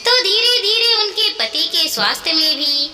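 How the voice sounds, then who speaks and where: A woman narrating a scripted moral story in an expressive, earnest way. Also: very high voice, moderate pace. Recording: echoey reverberant sound, clear background noise.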